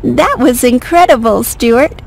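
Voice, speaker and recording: A woman's voice: fairly high pitch, unhurried. A woman voicing a friendly, encouraging young female bird who explains challenges in a video game. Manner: clear, encouraging, instructive. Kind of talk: video game cutscene voice acting.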